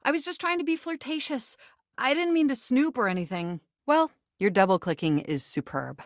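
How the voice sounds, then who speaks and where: A woman's voice: sits high, expressive, moderate pace, no echo, clean studio sound. A woman voicing a nerdy, eager, flirtatious computer come to life in a video game, speaking in formal, wordy, technical phrases with an earnest, easily flustered manner. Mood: flustered, apologetic. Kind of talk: scripted video game voice acting.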